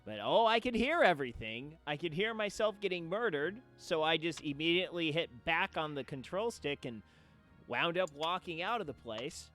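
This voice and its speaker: A man streaming a game and chatting casually, telling stories with theatrical, drawn-out emphasis. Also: on the high side, animated, a bit bright, faint music behind. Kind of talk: casual chat, storytelling.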